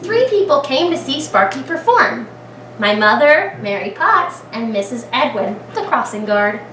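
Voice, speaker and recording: A woman reading a picture book aloud to young children as a kindergarten teacher, expressively, with dramatic pauses and thinking aloud as she asks the listeners questions. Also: sits high, big pitch swings, a bit bright. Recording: echoey room, distant mic, clear background noise.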